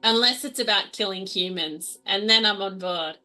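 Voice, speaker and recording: A woman's voice: medium pitch, moderate pace, sharp tone, low-quality recording. A woman playing a tabletop role-playing game, improvising in character in a playful, sweary, casual way. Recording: music behind the voice.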